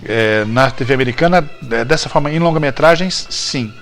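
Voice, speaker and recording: A man's voice: mid-range voice, moderate pace, fairly bright tone, faint music behind. A Brazilian man hosting a show, talking casually and jokily about trivia and often correcting himself. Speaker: show host. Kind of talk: casual, chatty TV-trivia commentary.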